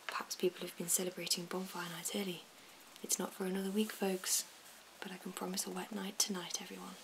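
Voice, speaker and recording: A woman's voice: lowish voice, level tone, brisk, lightly acted. Woman doing a chatty weather lady, cheerful, talks to viewers, hissy clicky recording.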